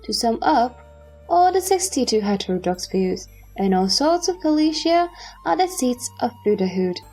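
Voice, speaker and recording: A woman playing a wise bodhisattva teacher in a scripture audio drama, calmly and deliberately expounding doctrine. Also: on the high side, poor audio. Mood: calm, instructive. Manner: slow, deliberate, instructive. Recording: background music under the voice. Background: music.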